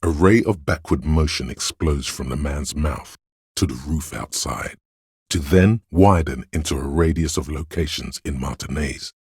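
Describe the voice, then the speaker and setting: A man voicing a detective's analytical inner voice for a video game, in precise, measured, dryly observant narration with a gravelly, husky tone. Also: extremely low pitch, a little mellow, no echo, clean studio sound, heavily acted. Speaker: game voice actor.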